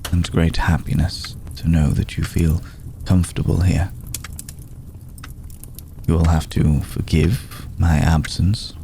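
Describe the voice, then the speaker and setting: A man playing an ancient, aristocratic male vampire in an ASMR roleplay, formal, courteous and brooding, with a soft, gravelly voice and deliberate pauses. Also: rumbling low, a little hiss or hum, exaggerated voice. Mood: gentle concern. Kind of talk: ASMR roleplay, slow intimate acting.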